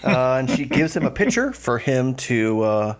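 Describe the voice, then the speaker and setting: A man in his thirties chatting on a podcast, his pitch bouncing up and down as he talks. He is playful and laughs often, filling his retellings with "uh" and "anywho.". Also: sing-song, normal speed. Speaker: podcast co-host. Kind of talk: casual chat.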